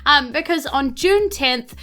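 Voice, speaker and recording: A woman's voice: high-pitched, expressive, unhurried, a bit bright. A woman with a New Zealand accent, effusive and gushing as she hosts a casual podcast chat. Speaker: podcast host giving thanks and announcements.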